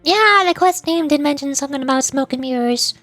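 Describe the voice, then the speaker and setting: A young woman with a high voice comments casually on a game as a VTuber streamer. She is playful and teasing, rambling as she goes. The sound is close and dry, with music underneath. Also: animated, fairly quick, fairly bright tone, no room sound.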